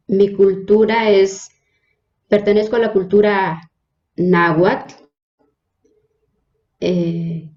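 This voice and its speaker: Nahuatl-native woman from Mexico, mellow and unhurried, hesitant with fillers; echoey, dull audio. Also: mid-range voice. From Mexico, Hidalgo state. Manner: hesitant, frequent fillers and restarts. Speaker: religious sister, guest. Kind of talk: interview, personal introduction.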